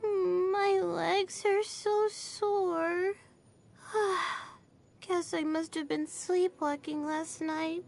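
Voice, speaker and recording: A woman's voice: very high voice, steady tone, drawn-out words, gravelly, darkish tone, soft background music, exaggerated voice. A woman voicing a sleepy, anxious young student stargazer in an anime game, hesitant and drowsy. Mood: tired, distressed. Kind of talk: anime video game voice lines.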